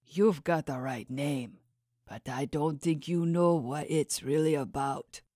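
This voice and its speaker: An ancient, formidable old captive of an unearthly kind, as a woman narrator acts him out in a fantasy audiobook. The voice sits low and close in a dry recording, measured and full of authority. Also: warm tone, dry recording. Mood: weary, grave. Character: ancient, imposing, authoritative shackled non-human old man.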